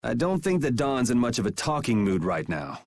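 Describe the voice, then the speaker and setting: A man's voice: mid-range voice, big pitch swings, normal speed. A man voicing a cool, level-headed young swordsman in an anime video game, casual and direct. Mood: cool, measured. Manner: casual, laid-back, direct. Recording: background music behind the voice.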